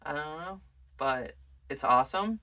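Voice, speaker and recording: Bright-voiced casual vlogger, recorded at a distance. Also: medium pitch, lively intonation, measured pace. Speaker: host of a music collection show. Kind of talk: casual rambling vlog chat.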